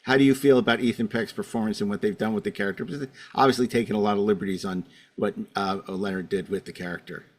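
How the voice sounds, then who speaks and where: A man hosts a panel podcast in a conversational register. He talks quickly as he sets up questions and hands them to his guests. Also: fairly low pitch, steady tone. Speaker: podcast host. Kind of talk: conversational interviewing, panel discussion.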